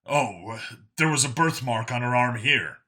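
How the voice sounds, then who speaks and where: A young, guarded man carrying heavy feeling as a male narrator acts him, halting and emotional. His mid-pitched voice has a very bright, tense edge, suited to a sci-fi audiobook hero. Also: expressive. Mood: frustrated longing. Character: young male abductee cop, guarded, anguished son.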